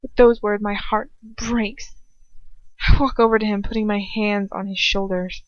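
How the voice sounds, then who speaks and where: A woman reading a first-person story dramatically, in an emotional, halting amateur delivery. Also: medium pitch, fairly even intonation, no echo, lo-fi sound. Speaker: amateur fan fiction reader.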